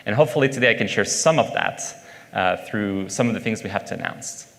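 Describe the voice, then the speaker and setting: A man with a French accent speaks at a conference, his voice carrying a long reverb from the large hall around him. He pauses often on fillers as he works through his points and turns to the audience with questions. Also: lowish voice, fairly bright tone, slight background noise. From France. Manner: hesitant, many fillers, engages the audience with questions. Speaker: conference speaker, product manager. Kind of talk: conference talk.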